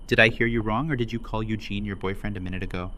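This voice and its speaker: A man's voice: on the low side, steady tone, fast talker, sharp tone. A man playing a blunt, nosy, good-natured survivor in an audio drama. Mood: incredulous.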